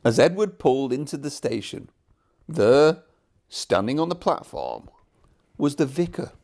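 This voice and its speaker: A man narrating a children's storybook from a script in an expressive storytelling manner. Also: medium pitch, measured pace, gravelly.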